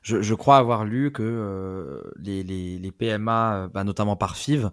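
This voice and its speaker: A man hosting a casual podcast chat, asking long, rambling questions in a relaxed way. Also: on the low side, a little slow, no echo, studio quality. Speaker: podcast host.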